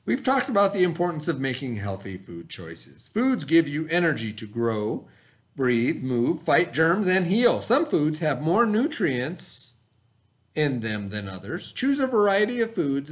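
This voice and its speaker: A middle-aged American man, an elementary teacher, reading a lesson aloud to children in an expressive, instructional manner. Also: a little high. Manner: expressive, instructional, pauses to ask the listener questions. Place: small indoor classroom, at a desk.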